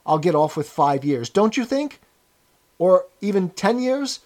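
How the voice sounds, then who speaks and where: A man voicing an anxious, pleading, high-pitched prisoner. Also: animated, normal speed, a little mellow. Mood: frightened pleading. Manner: pleading, chummy, sanctimonious, chatty old-fashioned British idiom. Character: anxious, servile, eager middle-aged prisoner pleading his case.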